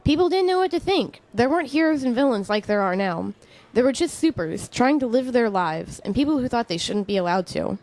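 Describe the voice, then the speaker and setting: Woman playing a measured middle-aged professor. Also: on the high side, big pitch swings, a little mellow. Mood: reflective. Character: middle-aged female chemistry professor, friendly and reflective. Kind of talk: conversational audio drama acting.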